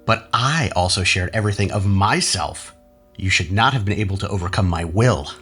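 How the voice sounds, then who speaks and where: Casting: actor for a betrayed, haughty male doctor, lowish register, expressive and halting, radio drama. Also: slightly rough, soft background music, exaggerated voice. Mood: stunned, betrayed. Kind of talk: scripted audio drama acting.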